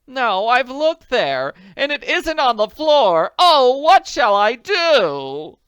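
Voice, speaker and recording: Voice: mid-range voice, expressive, normal speed, bright, forceful tone, smooth, dry recording, dull audio, pitched above the performer's own voice. A reader voicing a fretful, wailing lady. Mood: distraught. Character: anxious older woman dining out.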